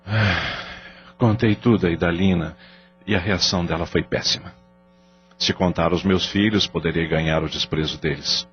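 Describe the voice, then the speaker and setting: A man's voice: deep voice, steady tone, moderate pace, lightly acted. A man playing a worried middle-aged father in an audio drama, speaking in a hesitant, sighing way. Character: anxious middle-aged father caught in a scandal. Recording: dull lo-fi audio with faint music.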